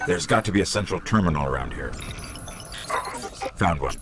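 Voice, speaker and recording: Very low, animated robot companion. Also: male voice, moderate pace, over music. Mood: curious fascination. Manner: wry, fussy, expressive. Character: small robot companion, chatty, curious, wry and anxious. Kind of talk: video game cutscene acting.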